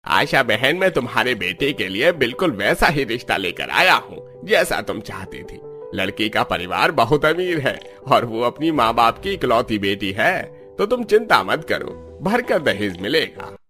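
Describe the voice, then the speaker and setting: A man's voice: mid-range voice, big pitch swings, unhurried, bright, forceful tone, faint music behind. A man voicing an eager, chatty priest matchmaker in a cartoon, ingratiating and persuasive.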